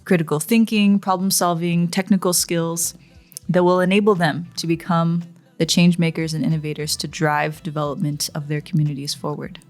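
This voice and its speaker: A woman guest in an interview, speaking in a measured, thoughtful way. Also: lowish voice, little pitch movement, a little mellow, faint music behind. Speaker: guest, education founder. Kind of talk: interview conversation.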